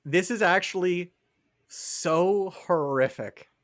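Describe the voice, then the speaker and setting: A man's voice: high-pitched, slow pace, no echo, clean studio sound. A man co-hosting a casual podcast, talking in a theatrical, mock-dramatic way. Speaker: podcast co-host. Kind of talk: casual chat.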